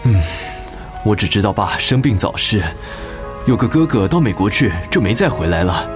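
A man's voice: fairly low pitch, sing-song, moderate pace. An actor playing a conciliatory, apologetic son-in-law and hardworking family man in a radio drama. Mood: apologetic, earnest. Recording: music behind the voice.

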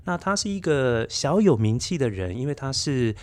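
A man in his forties with a Taiwanese Mandarin accent, speaking thoughtfully as an interview guest and explaining with gentle emphasis. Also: medium pitch, sing-song, normal speed. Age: 40s. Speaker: guest, children's story podcaster. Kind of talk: reflective interview talk.

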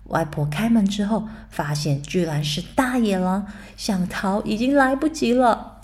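A woman narrating a bedtime story for children in a soft, soothing way. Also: medium pitch, expressive, a little slow. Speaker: storyteller mother.

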